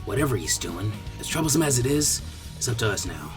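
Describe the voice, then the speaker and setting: A man voicing a laconic, weary, clever teenage boy ninja with a flat, drawling delivery, in a story reading. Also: fairly low pitch, fairly quick, over music, voice pushed down. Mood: resigned.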